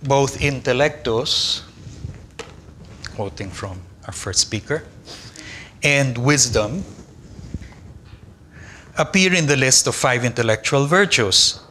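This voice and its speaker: An older man whose first language is Tagalog, reading a philosophy lecture at a conference in a measured, deliberate way from a lectern in a large lecture hall. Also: mid-range voice, expressive, bright, forceful tone, a little hiss or hum. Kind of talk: academic conference lecture read from a text. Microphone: lectern microphone.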